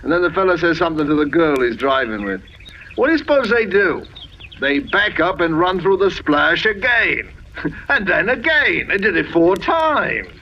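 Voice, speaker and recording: A man's voice: on the high side, very animated, normal speed, bright, forceful tone, full character voice. A man playing a chatty, gossipy countryman in an old radio drama, telling things in rambling colloquial talk. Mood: gossipy, puzzled. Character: chatty, folksy countryman witness, amused and gossipy. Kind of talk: 1940s radio drama acting. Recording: dull, slightly noisy old radio recording.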